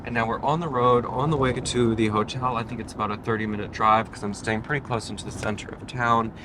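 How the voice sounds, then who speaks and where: A man's voice: medium pitch, steady tone, fast, noisy background. A man narrating a casual travel vlog, chatty and upbeat, talking to viewers as he moves from an airport to a car. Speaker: travel vlogger. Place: airport terminal, then car on the road.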